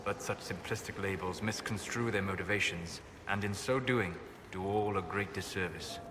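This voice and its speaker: An actor playing a calm, scholarly elven mage in a fantasy game, speaking in a measured, formal, lecturing manner. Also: male voice, low voice, noisy background, lightly acted. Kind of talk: scripted fantasy game dialogue.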